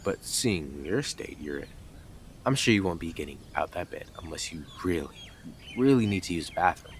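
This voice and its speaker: A man performs a blunt, obsessive yandere neighbour for a roleplay audio. The voice is deep and swings widely from low mutters to sharp jabs. He taunts and scoffs with sarcastic, theatrical emphasis, over faint background noise. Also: lively intonation, normal speed. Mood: mocking, smug. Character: blunt, smug, obsessive young male yandere neighbour, mocking intruder. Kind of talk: scripted audio roleplay addressed to listener.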